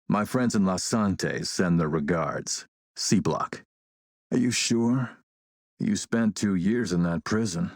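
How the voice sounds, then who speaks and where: A man playing a suave video game warlord, coolly polite and mocking, with a measured, sardonic menace. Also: low voice, no room sound, studio quality, exaggerated voice. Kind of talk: video game villain acting.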